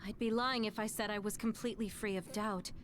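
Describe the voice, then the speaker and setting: A woman's voice: mid-range voice, fairly even intonation, fairly quick, a crowd in the background, natural voice. A woman voicing an earnest, composed young squad fighter in an English video game dub. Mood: serious.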